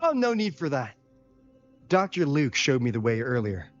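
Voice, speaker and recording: An actor playing an easygoing, shrewd investigator in a video game, speaking in a casual, wry, husky voice. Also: male voice, mid-range voice, sing-song, moderate pace, close to an everyday voice. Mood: friendly, considerate. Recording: dull lo-fi game audio, faint music.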